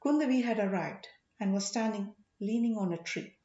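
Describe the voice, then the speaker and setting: A woman narrating an audiobook story dramatically, in measured short phrases with emphasis. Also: mid-range voice, dark tone. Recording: echoey room, slightly muffled sound.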